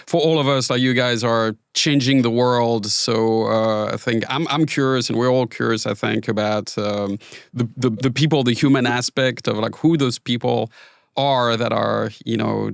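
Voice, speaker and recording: Male podcast host, gravelly tone, dry close recording, rambling questions with restarts. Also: medium pitch, no echo. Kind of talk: podcast interview.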